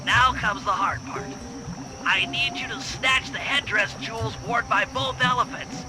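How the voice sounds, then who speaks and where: Casting: brainy cartoon turtle tactician, extremely high voice, confident technical briefer, game score underneath. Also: male voice, measured pace, rough recording. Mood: focused planning. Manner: precise, technical, verbose briefing. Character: nerdy brainy turtle tactician, fussy, confident gadget planner. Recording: game music behind voice. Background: game music.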